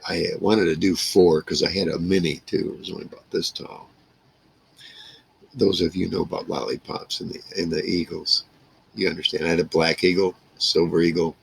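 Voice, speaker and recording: A man in his sixties speaks in a very low voice with heavy gravel and a husky edge. He reminisces casually, rambling from one thought to the next in salty, plainspoken language. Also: flat delivery, normal speed, low-quality recording. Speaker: vlogger. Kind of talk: casual reminiscing monologue to camera. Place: small wood-paneled room indoors.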